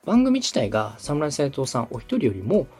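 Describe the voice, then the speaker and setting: Husky man explaining politely, lively intonation. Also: mid-range voice, moderate pace, faint music behind. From Osaka, Japan. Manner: polite, methodical. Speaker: podcast host, radio director giving advice. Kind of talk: explanatory consulting talk.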